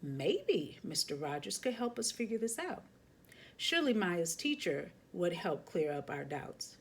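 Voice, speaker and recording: A woman's voice: on the low side, sing-song, a little slow, husky, heavily acted. Woman as a concerned storybook mother. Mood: worried. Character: worried, watchful mother of a young girl.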